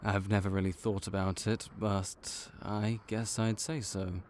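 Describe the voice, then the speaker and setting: A man's voice: on the low side, little pitch movement, a little slow, darkish tone, slight background noise, lightly acted. A man voicing a male vampire intake officer in an audio roleplay, matter-of-fact and wry as he walks through the paperwork.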